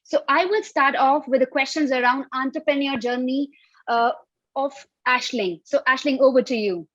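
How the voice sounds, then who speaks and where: High-voiced woman hosting a video call. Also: fairly bright tone, no echo, lo-fi sound. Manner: measured, with frequent uh fillers. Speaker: host. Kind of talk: interview hosting.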